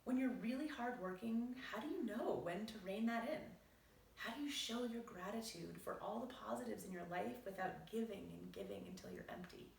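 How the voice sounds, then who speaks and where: A woman doctor reads from her own book at an even, explanatory pace, her voice slightly gravelly. She sits a little back from the microphone, and the room adds a noticeable echo. A faint ambient hiss stays underneath. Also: medium pitch, recorded from a distance. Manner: measured, explanatory reading. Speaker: physician and author. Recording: roomy echo, voice set back from the mic.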